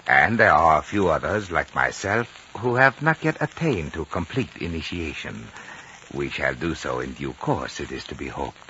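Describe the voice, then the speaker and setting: A deep-voiced, courtly old Chinese lamasery host in a vintage radio play. He is formal and enigmatic, with swooping melody and deliberate phrasing, on a dull old recording. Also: lively intonation, pushed and bright, slight background noise, exaggerated voice. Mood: serene, gently probing. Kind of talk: 1950s radio drama acting.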